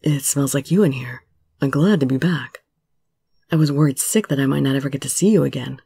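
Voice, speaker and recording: A woman plays a young male musician in love, from a romance story. His voice sits low and husky, breathy and intense. He pleads earnestly, as if everything depends on being believed. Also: fairly quick, warm tone, dry recording, exaggerated voice. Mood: tender, pleading. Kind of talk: dramatic romance story reading.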